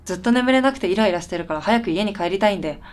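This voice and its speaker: A woman's voice: mid-range voice, lively intonation, rapid-fire, close to an everyday voice. An actress playing a polite, puzzled and tired woman with a dry, blunt streak in a radio drama. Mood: irritated, blunt. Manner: polite, dry, matter-of-fact. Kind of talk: Japanese radio drama dialogue.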